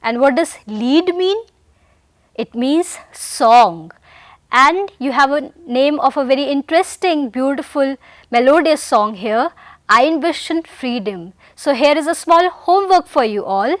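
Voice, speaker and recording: A woman's voice: high voice, animated, measured pace, no echo, studio quality. A woman teaching a German vocabulary lesson in English, patiently repeating each word and sentence and calling her learners dear friends. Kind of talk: recorded language lesson.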